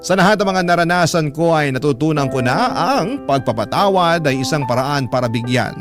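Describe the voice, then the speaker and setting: A man with a Filipino accent reading a letter as a heartfelt, measured dramatic narration. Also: mid-range voice. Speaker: radio storyteller reading a listener's letter. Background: music bed.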